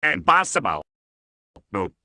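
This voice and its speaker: A stubborn, grumpy cartoon grouch, with a sharp edge and swooping pitch. The answers are curt and dismissive, in the manner of an old TV ad skit. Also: very animated, unhurried, dry recording, studio quality, heavily acted. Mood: stubborn, skeptical.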